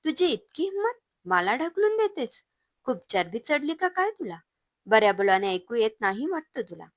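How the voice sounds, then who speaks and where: A domineering husband who barks and sneers at his wife, as a woman reading a story puts him on. The voice swings lively up and down and sits dry and close. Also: mid-range voice, moderate pace, no room sound, natural voice. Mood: furious. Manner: shouting, scornful, loud. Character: angry domineering husband, a man.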